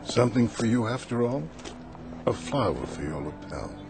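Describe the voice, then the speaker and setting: An actor playing a mysterious, calm old peddler in a radio drama, speaking in gentle, cryptic phrases. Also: male voice, medium pitch, moderate pace, natural voice. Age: older. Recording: radio play with music underneath.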